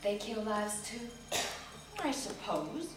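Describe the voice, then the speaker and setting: A woman's voice: medium pitch, animated, slow. A woman giving a dramatic, emphatic reading of a literary story in a live stage performance. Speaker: reader in a staged ensemble reading. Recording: echoey distant live theater recording with music. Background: music and sound design.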